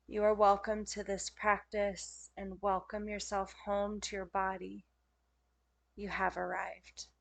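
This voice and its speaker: A woman leading a guided yoga nidra meditation as a teacher, speaking in gentle, soothing, unhurried phrases with pauses. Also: medium pitch, level tone, creaky.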